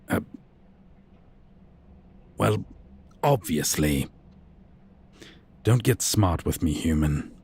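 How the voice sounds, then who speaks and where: A man's voice: deep voice, a little mellow, husky, heavily acted. A man playing a haughty, sadistic vampire captor in an ASMR roleplay, with a drawling, condescending, menacing and archly formal manner. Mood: pained indignation.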